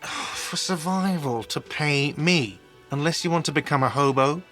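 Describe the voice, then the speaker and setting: An actor playing an exasperated, sarcastic hostel manager in a video game, with an irritated, condescending way of talking. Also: male voice, on the high side, unhurried, a bit bright, soft background music. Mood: condescending, weary.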